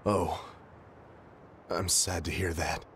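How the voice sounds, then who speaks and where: An actor playing a polite, grieving young man in a game cutscene, speaking gently. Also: sits low, fairly even intonation, takes it slowly, creaky, slight background noise, heavily acted. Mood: subdued. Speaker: game character.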